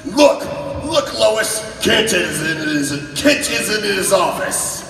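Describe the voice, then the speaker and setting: A man's voice: high-pitched, very animated, takes it slowly, music in the background, heavily acted. A man playing a blustering, impatient newspaper boss in a barking old-time radio style. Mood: exasperated. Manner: barking, exasperated. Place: on stage in a large convention hall. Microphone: far audience camera picking up PA. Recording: echoey convention hall from far off.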